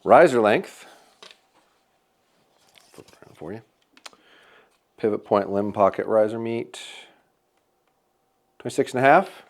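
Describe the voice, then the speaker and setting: A man's voice: fairly low pitch, animated, a little slow, long pauses. A man reviewing a bow at a shop, casually talking through each measurement as he checks it. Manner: upbeat, talks through measurements as he works; says he has a loud voice. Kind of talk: casual hands-on product testing.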